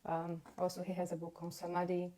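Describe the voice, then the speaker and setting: A woman from Brazil with a Brazilian accent, hosting a live online conversation in halting, earnest speech, searching for her words. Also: on the low side, monotone, warm tone. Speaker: host. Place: home in Spain. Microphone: video call.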